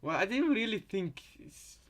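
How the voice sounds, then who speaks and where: Higher-voiced man rambling on a live stream. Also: sing-song, moderate pace, a bit bright. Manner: hesitant, rambling, repeats phrases. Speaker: live streamer reacting to game content. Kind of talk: casual live stream reaction chat. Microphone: headset.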